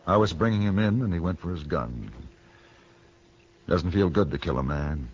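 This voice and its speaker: An American man playing a grave, courteous gunfighter for hire in a radio western, speaking in a measured, brooding way. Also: low-pitched, husky. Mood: somber, gentle. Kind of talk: 1950s radio western acting. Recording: muffled 1960 radio broadcast.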